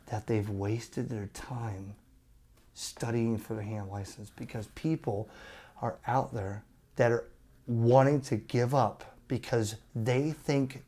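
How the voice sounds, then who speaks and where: Emphatic man ranting with swooping pitch. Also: darkish tone. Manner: emphatic, with rhetorical questions and pauses for effect; exasperated, argumentative. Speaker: amateur radio YouTube host. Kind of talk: opinionated rant to camera.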